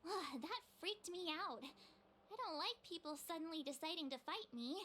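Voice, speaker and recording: A woman's voice: extremely high pitch, expressive, normal speed, fairly bright tone, a little hiss or hum. A woman voicing a perky, naive teenage girl adventurer in a video game, with a cutesy, informal and hesitant manner. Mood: nervous, chatty. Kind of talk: scripted video game voice acting.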